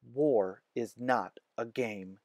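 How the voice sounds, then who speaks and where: Storybook role: thoughtful, determined young boy, read by a man, unhurried. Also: mid-range voice, darkish tone, no room sound. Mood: solemn. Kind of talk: children's storybook read-aloud.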